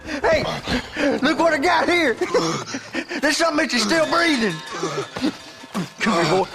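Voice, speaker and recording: An actor playing a rowdy, taunting rustic bandit in a video game cutscene. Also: male voice, very high voice, very animated, a little slow, over music, full character voice.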